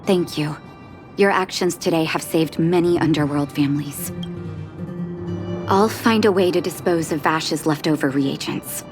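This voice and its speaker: A resolute video game doctor devoted to duty. Her voice is on the low side, composed and firm, with soft music behind it. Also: measured pace. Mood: calm resolve. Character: calm, resolute, dutiful woman doctor of the underworld.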